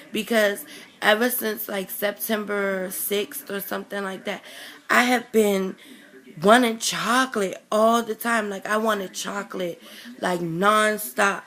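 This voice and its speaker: Slow-talking woman with a sick raspy voice. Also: medium pitch, steady tone, bright, forceful tone. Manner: rambling, many ums and self-corrections. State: raspy voice from a chest cold. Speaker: vlogger. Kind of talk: casual chatty vlog.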